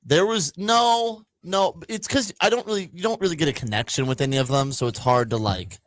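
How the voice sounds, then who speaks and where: A man's voice: on the high side, brisk, a bit bright, no echo. A young man in his twenties co-hosting a talk show with wry, self-mocking banter. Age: 20s. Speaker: co-host. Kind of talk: casual sports talk chat.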